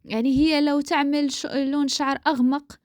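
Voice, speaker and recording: A woman from the Maghreb chatting casually as a co-host, opinionated and quick to laugh. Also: high-pitched, little pitch movement, a little mellow, no room sound, studio quality. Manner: speaks in a levelled dialect mix, not her own. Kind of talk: casual chat.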